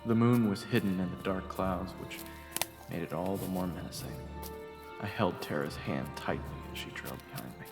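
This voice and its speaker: A man's voice: deep voice, normal speed, vocal fry, reverberant, big-room sound. Man narrating a story over music. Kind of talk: dramatic first-person story narration.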